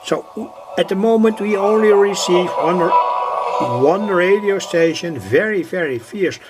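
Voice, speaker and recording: A man's voice: high-pitched, measured pace, loud music behind the voice. A man giving an informal, hesitant technical demonstration, repeating himself as he explains each step. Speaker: electronics hobbyist presenter.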